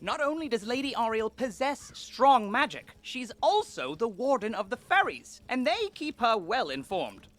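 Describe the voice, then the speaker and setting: A woman voicing an eager, worried young guide in a fantasy video game, expressive and earnest. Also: on the high side, moderate pace, hardly any pauses, soft background music. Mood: earnest, explaining. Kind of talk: video game character acting.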